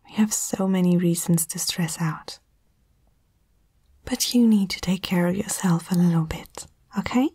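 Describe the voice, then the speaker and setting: A woman whispering softly and tenderly in a breathy voice, in a reassuring ASMR comfort roleplay, close to the microphone. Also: fairly low pitch, unhurried, dry recording.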